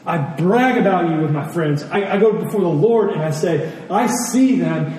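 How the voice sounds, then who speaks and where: In a very reverberant hall, picked up from a distance, a man delivers a sermon. His voice is fairly high and earnest. He teaches conversationally, repeating key phrases and posing questions to his listeners. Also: darkish tone. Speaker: preacher. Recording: very echoey hall, distant mic, faint noise.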